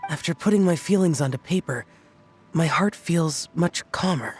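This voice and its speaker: A man playing a gentle, introspective young male poet in a video game, speaking with hesitant sincerity. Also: a little high, moderate pace, soft background music, close to an everyday voice. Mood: shy, sincere. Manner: hesitant, soft-hearted, sincere.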